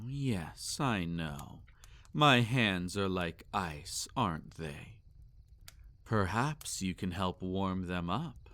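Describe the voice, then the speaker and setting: A man's voice: deep voice, heavily acted. A man playing a possessive, sadistic male vampire in intimate roleplay audio, with a theatrical, teasing and condescending manner. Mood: hungry, seductive.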